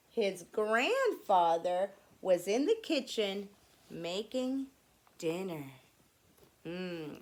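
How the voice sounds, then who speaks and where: Slow, lively, husky woman storyteller. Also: medium pitch. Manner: animated, drops into hushed near-whispers. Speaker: read-aloud host reading a picture book. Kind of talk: expressive children's storybook narration with asides.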